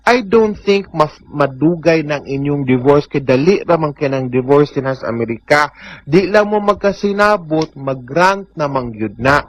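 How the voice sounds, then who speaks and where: A man's voice: a little high, lively intonation, moderate pace. A man with a Filipino Cebuano accent giving advice as a radio host, emphatic and switching into English phrases.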